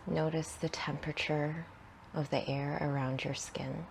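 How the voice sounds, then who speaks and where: A woman guides a meditation in a low-pitched voice thick with creaky fry. She speaks very slowly and soothingly, in short phrases that trail off softly and breathily between long pauses. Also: flat delivery, a little hiss or hum, low-quality recording.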